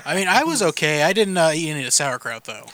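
A man's voice: a little high, very animated, quick pace, bright, forceful tone, no echo. A man co-hosting a podcast, casually throwing in crude, teasing wisecracks. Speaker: podcast co-host.

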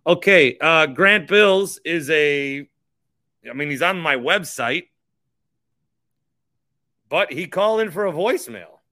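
Give this a man with a bright, forceful voice, recorded dry and close. He hosts a casual sports podcast and talks bluntly, full of opinions. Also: on the high side, lively intonation, slow pace, pauses often, no room sound. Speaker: podcast host.

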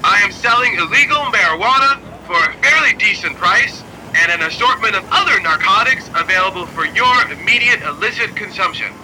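A man's voice: high-pitched, expressive, a little fast, sharp tone, slight background noise, full character voice, poor audio. A man playing an earnest, hapless young man in an audio drama, swinging between formal declaiming and flustered stammering. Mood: grandly declaiming. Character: earnest hapless husky young man staging absurd protests. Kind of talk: scripted audio drama acting.

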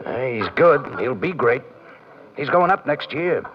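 A man's voice: mid-range voice, expressive, a little slow, slight background noise, natural voice. An actor playing a weary, plainspoken aging ballplayer and proud father, resigned and wistful, in an old radio drama. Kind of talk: golden-age radio drama acting. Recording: muffled vintage radio recording.

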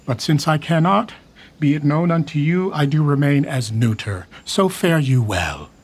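An actor playing a weary royal uncle in a Shakespeare radio drama, speaking verse in a measured, declamatory way. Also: male voice, on the high side, expressive, breathy edge. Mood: resigned. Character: aging royal uncle, weary and torn, reluctantly neutral. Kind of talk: Shakespearean stage acting for radio.

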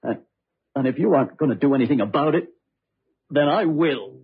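An actor playing an earnest, bewildered young American man in an old-time radio drama, speaking haltingly and urgently. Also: a little high, expressive, slightly rough, heavily acted. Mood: agitated, insistent. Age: young adult. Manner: halting, urgent, repeats himself. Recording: muffled old radio recording.

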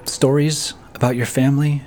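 A man's voice: mid-range voice, level tone, normal speed, darkish tone, a little hiss or hum, natural voice. An actor playing a polite, awkward young Quaker man in an audio drama, speaking hesitantly and apologetically. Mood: apologetic, awkward.

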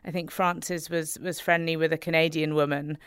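Low-voiced, smooth woman musing aloud. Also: level tone, brisk, dry recording, clean studio sound. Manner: thoughtful, hedging with many "sort of"s. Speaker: food writer, interview guest. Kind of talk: interview answers.

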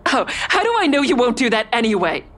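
A woman's voice: on the high side, sing-song, brisk, a bit bright. An actress in an audio drama playing an exhausted, defiant woman who tells of her ordeal in a grim, blunt way. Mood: desperate, defiant. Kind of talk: first-person dramatic narration in audio drama.

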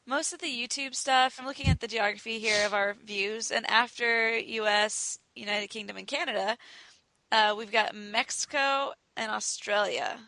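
A woman's voice: a little high, fairly even intonation, moderate pace, bright, forceful tone, dry recording, low-quality recording. A woman co-hosting a podcast in casual chat, laughing often and trailing off mid-sentence. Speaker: podcast co-host and editor.

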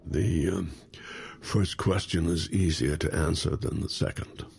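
An actor playing a guilt-worn, wry brother in an audio drama, speaking haltingly and naturalistically. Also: male voice, low-pitched, fairly even intonation, vocal fry, darkish tone, husky, full character voice. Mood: relieved, uneasy.

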